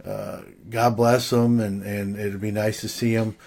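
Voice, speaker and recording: A man with a somewhat low voice, speaking as a guest on a talk show. He reflects slowly and hesitantly, leaning on fillers and restarting his sentences. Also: little pitch movement, creaky, darkish tone. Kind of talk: casual chat.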